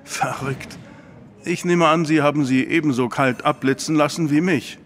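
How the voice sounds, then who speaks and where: A man's voice: on the high side, fairly even intonation, moderate pace, a bit bright, slight background noise, lightly acted. An actor playing a sceptical, flippant man in an audio drama, with a blunt, dry way of talking. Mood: dry, curious. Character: sceptical, flippant man hearing out a troubled woman.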